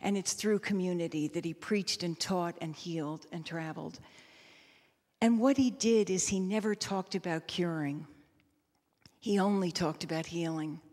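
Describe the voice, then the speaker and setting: A woman pastor giving reflective, sermon-like panel remarks, measured and emphatic, often repeating phrases. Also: lowish voice, a little mellow. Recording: echoey hall with long reverb.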